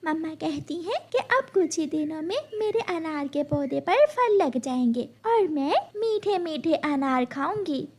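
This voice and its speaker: A woman's voice: high-pitched, expressive, a little slow, few pauses, darkish tone, pitched above the performer's own voice. A woman voicing a sweet, innocent little girl bird in a children's cartoon, childlike and plaintive. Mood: earnest. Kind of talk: children's cartoon story voice acting.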